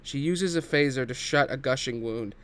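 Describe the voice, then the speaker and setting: A man's voice: medium pitch, normal speed. A man narrating a screenplay's action and scene headings in a scripted table read.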